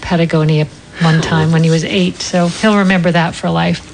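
Enthusiastic low-voiced woman co-host. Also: level tone, normal speed, slight background noise, poor audio. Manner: enthusiastic, curious questioner. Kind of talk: casual radio chat.

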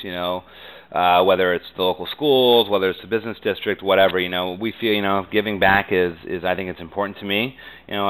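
An American man giving conversational interview answers as a business guest, earnest and halting, often saying "you know.". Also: low-pitched, a bit bright, clean tone, rough recording.

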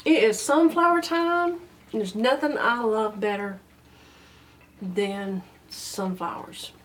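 A woman's voice: fairly high pitch, slow pace. A woman co-hosting a garden radio show, speaking in brief, matter-of-fact casual chat. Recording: distant mic.

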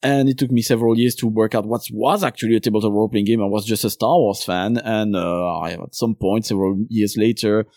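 A man's voice: fairly low pitch, fairly even intonation, fairly quick, few pauses, a little mellow, dry recording, studio quality. A man from Belgium whose first language is French, speaking English with a Belgian French accent as a guest in a casual interview, talking at length with many filler sounds. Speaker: podcast host and game designer guest.

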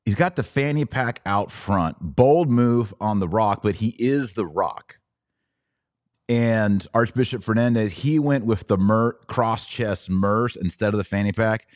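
A man's voice: on the low side, unhurried, no echo, studio quality. A middle-aged American man hosting a podcast, giving opinionated religious commentary in an informal, slangy, mocking and incredulous way.

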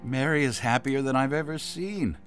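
A man's voice: medium pitch, moderate pace, over music, close to an everyday voice. An actor playing a fond, teasing father in a Bible audio drama.

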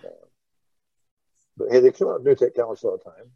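A man's voice: mid-range voice, animated, fast, gravelly, slightly rough, no room sound. A middle-aged man chatting casually as a podcast host, telling rambling, informal stories over an online call. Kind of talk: casual reminiscing podcast talk. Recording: dull, lo-fi online call audio.

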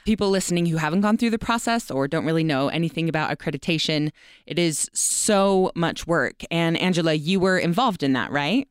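A woman hosts a conversational podcast interview. Her voice sits a little low and is smooth and clean. The recording is dry, with hardly any room sound around her. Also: lively intonation, fairly quick, dry recording.

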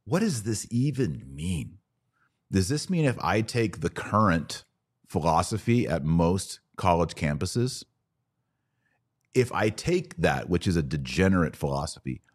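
A man with a very low voice hosts a religious podcast. He speaks conversationally but emphatically, building arguments with rhetorical questions and quoted passages. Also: big pitch swings, unhurried. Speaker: podcast host. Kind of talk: conversational religious commentary with read-out quotations.

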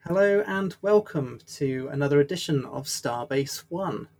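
A man hosting a casual podcast, introducing his co-hosts and joking with them in quick asides. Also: fairly high pitch, lively intonation, a little mellow. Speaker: podcast host.

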